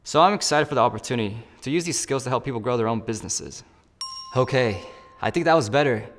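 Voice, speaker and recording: A man's voice: medium pitch, expressive, quick pace, in a large hall. A man role-playing a job candidate in a phone interview and explaining each step to the viewer in an upbeat way. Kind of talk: scripted role-play with asides to the viewer.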